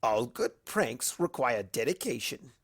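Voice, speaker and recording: A narrator voicing a suave, mischievous male cartoon trickster in a story reading, with slow, deliberate, smug playfulness. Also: medium pitch, very animated, breathy edge, no echo, clean studio sound, full character voice.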